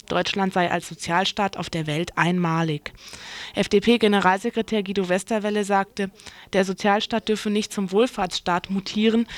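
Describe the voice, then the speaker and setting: A woman's voice: mid-range voice, dry recording. A woman working as a radio newsreader, speaking into a studio microphone in a booth. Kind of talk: scripted news reading.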